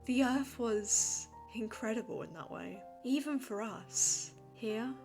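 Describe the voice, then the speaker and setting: Woman as a fussy, precise alien gem lady, high-pitched. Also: slow, darkish tone, faint music behind. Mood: wistful. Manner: formal, didactic, exasperated lecturing. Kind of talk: comic dub voice acting.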